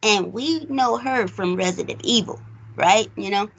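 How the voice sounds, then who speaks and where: Casual American female co-host, unhurried and enthusiastic, with a creaky voice for film chat. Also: mid-range voice, a crowd in the background, low-quality recording. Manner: enthusiastic, conversational, slangy. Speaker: podcast co-host reviewing a film.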